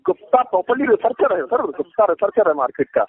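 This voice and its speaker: A man making a phone sales pitch, insistent, repetitive and evasive. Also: big pitch swings, quick pace, slight background noise. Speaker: advisory company agent on a sales call. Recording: tinny, echoey phone call recording.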